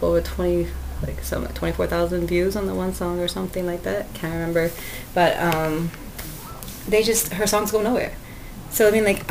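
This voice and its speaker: Chatty woman with a sweet, lowish voice. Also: vocal fry, slight background noise, rough recording. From Canada. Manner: rambling, chatty, with frequent fillers and laughs; self-describes her voice as sweet and cute. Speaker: podcast host. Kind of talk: casual solo podcast talk.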